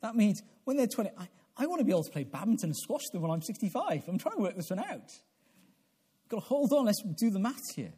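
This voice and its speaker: A man in his forties preaching a sermon in a deliberate, halting way, repeating phrases and posing questions to his listeners. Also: sits high, mellow, husky. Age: 40s. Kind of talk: evangelical sermon with prayer.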